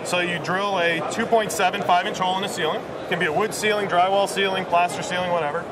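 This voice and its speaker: A man's voice: high voice, steady tone, fast talker. A middle-aged American man casually explaining a product in an interview, as a company executive. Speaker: company president presenting a product. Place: busy exhibition hall booth, indoors. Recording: loud trade show crowd behind.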